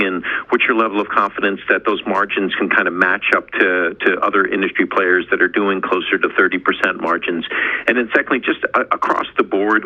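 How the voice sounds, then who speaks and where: A man asking questions as a financial analyst on an earnings call, over a lo-fi line. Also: fairly low pitch. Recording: dull lo-fi phone-line sound.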